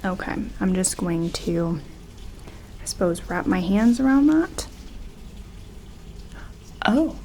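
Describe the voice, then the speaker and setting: A woman doing an ASMR medical roleplay as a gentle doctor, soft-spoken and slipping into whispers, with tentative, reassuring phrasing. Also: mid-range voice, lively intonation, takes it slowly, long pauses, slight background noise. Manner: gentle, hesitant, reassuring clinician talk. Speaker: ASMR performer playing a doctor examining an alien.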